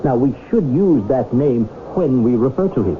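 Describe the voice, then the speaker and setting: An actor playing a pompous, effusive animal-loving celebrity lecturer, fussy and theatrical, in 1970s radio drama style. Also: male voice, medium pitch, lively intonation, normal speed, close to an everyday voice. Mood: indignant, pompous. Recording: noisy, tinny old radio broadcast.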